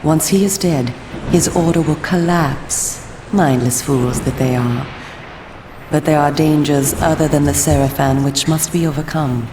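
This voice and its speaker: A commanding female vampire from a video game. Her low voice is grave and deliberate, stern yet persuasive. It echoes, with noise behind it. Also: fairly even intonation. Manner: solemn, measured, persuasive. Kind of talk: dramatic video game voice acting.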